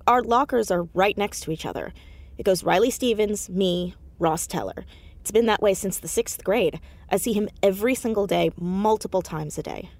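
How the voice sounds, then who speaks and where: A woman playing an anxious, earnest high school girl in an audio drama, hesitant but insistent as she talks. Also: moderate pace, a little mellow, no echo, close to an everyday voice. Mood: earnest, halting. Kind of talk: naturalistic audio drama acting.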